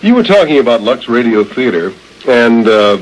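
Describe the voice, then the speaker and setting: A man's voice: mid-range voice, big pitch swings, moderate pace, slight background noise, poor audio. A man hosting a radio interview, speaking in formal, carefully built sentences with some hesitation. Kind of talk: interview conversation.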